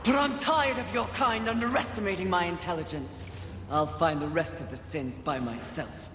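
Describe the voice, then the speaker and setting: A woman's voice: lowish voice, normal speed, music in the background, natural voice. An actress playing a proud, hot-tempered female warrior in a video game, commanding and defiant. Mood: defiant, demanding. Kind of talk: dramatic video game acting. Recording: echoey long reverb, clear background noise.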